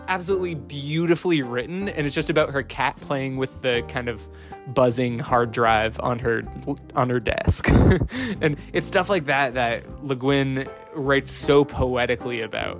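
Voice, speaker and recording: A man's voice: medium pitch, fairly even intonation, moderate pace, soft background music. A young man in his twenties hosting a casual book review vlog, talking earnestly with fillers and restarts into a close studio mic in a small home room. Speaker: book channel host. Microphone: boom-mounted studio mic close in front.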